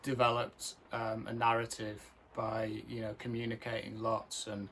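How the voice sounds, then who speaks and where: A male filmmaker speaking earnestly and thoughtfully about his work, pausing often with fillers. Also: on the low side, monotone, fairly bright tone, a little hiss or hum. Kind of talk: casual film introduction.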